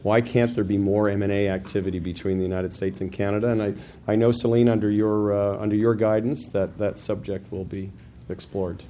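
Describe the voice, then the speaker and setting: A man giving opening remarks at a business forum, halting and repeating words. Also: lowish voice, fairly even intonation, mellow, a little hiss or hum. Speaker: opening speaker. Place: conference hall.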